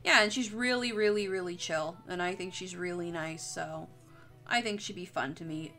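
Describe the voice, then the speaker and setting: Bright-voiced young woman chatting on stream. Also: fairly low pitch, normal speed, soft background music. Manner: rambling, sweary, jokey. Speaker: streamer, artist. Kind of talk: casual livestream chat while drawing.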